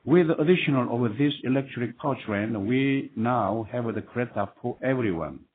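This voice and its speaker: A man's voice: medium pitch, lively intonation, normal speed. Man on a tinny, noisy phone line. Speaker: company managing director. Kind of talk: prepared earnings call remarks. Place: conference call.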